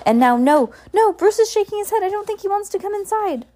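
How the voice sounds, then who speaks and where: A woman voicing an excitable, dramatic young schoolgirl in a storybook, exclaiming and pleading. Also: medium pitch, measured pace. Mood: dismayed. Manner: exclaiming, breathless when pleading.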